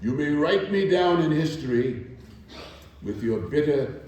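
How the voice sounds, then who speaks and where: An older man giving a theatrical reading to a live gathering, with deliberate, declamatory phrasing. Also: fairly high pitch, animated, a little mellow, distant mic. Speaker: actor performing a dramatic reading. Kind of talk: theatrical poetry recital and spoken presentation. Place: echoing indoor hall, live performance. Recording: very echoey hall, from afar.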